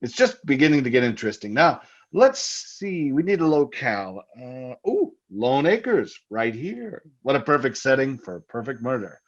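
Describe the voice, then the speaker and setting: Radio drama husband male actor: theatrical, taunting, jovial menace, very lively intonation, slightly muffled sound. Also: mid-range voice, normal speed, hardly any pauses. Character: jovially menacing husband, keen hunter, teasing with murder talk. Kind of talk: old-time radio drama acting.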